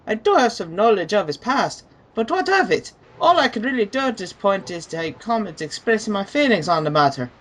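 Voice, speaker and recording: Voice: medium pitch, very animated, fairly quick, few pauses, fairly bright tone. A person reading written comments aloud as part of a group dramatic reading.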